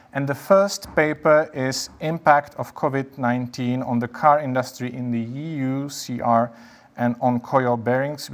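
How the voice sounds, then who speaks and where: A man with a mid-range voice, moderating an academic session. He speaks politely and formally as he hands over to the speaker. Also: mid-range voice. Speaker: session chair. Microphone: video call.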